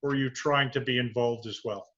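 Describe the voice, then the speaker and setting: A man's voice: mid-range voice, fairly even intonation, fairly quick, fairly bright tone, highs cut off. A man hosting an interview over a video call, asking curious questions with frequent stumbling restarts. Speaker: interviewer, show host.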